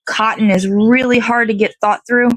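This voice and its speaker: Higher voiced lady rambling on a casual morning stream outside, kinda thinking out loud, dry sound no echo. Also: fairly even intonation, moderate pace. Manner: meandering, chatty, thinking aloud. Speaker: host of an online morning show. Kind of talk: casual rambling livestream chat. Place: outdoors in the cold.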